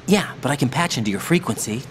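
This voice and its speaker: A man's voice: medium pitch, lively intonation, fast, natural voice. A man with an American accent playing an earnest, determined young special operative in a video game. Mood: urgent, guarded. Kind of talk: video game cutscene acting. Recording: clear vehicle and ambience noise behind.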